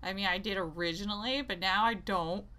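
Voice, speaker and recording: A woman's voice: medium pitch, fairly quick, pushed and bright. A woman gaming creator ranting casually and off the cuff, exasperated and rambling, often interrupting herself. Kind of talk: unscripted opinionated rant to viewers.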